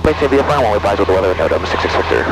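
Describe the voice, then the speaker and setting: A man's voice: on the low side, animated, very fast, vocal fry. A young man piloting a small plane, making radio calls and chatting casually through a headset mic. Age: young adult. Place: cockpit of a small propeller plane in flight. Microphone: aviation headset boom mic. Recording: loud engine noise, headset intercom.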